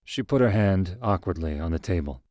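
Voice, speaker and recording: Deep-voiced male storyteller, calm. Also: moderate pace, no room sound, clean studio sound. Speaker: narrator. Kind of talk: scripted narration of a short story.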